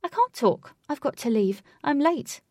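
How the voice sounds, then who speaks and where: A woman's voice: sits high, sing-song, unhurried, darkish tone, no echo, voice pushed up. A curt, smug bookie, all business and no sympathy, put on by a woman reading aloud. He clips his words and waves people off. The sound is dry and close. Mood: brusque. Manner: curt, dismissive.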